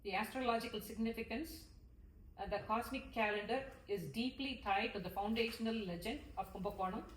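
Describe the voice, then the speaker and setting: A woman giving a lecture read from notes, halting now and then over longer terms. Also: fairly high pitch. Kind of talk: lecture read from notes with some informal asides. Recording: echoey room, distant mic, faint noise.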